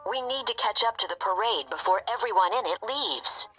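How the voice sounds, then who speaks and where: Lady doing an eager young guy hero from a storybook, bright and expressive, bit of music. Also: mid-range voice, moderate pace, rough recording. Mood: urgent. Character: young male adventurer, eager, friendly, urgent. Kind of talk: read-along storybook acting.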